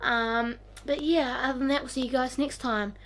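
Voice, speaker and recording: A woman's voice: sits high, fairly even intonation, normal speed, a bit bright, slight background noise. A woman in her early twenties with a New Zealand accent, talking casually to camera as a vlogger in a rambling way full of "like" and "um". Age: early 20s.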